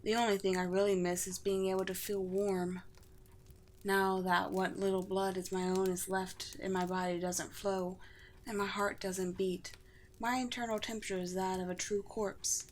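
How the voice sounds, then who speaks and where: A woman voicing a gentle, regal undead vampire queen in a scripted ASMR roleplay, speaking formally and poetically with tender, light amusement. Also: mid-range voice, level tone, normal speed, slight background noise, natural voice. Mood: serene, wistful.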